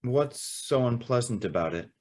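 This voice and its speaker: A man's voice: mid-range voice, expressive, moderate pace, darkish tone, natural voice. A man playing an earnest, hesitant young man in love in an old-time radio style drama. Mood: uneasy. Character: earnest, smitten young man, increasingly horrified guest.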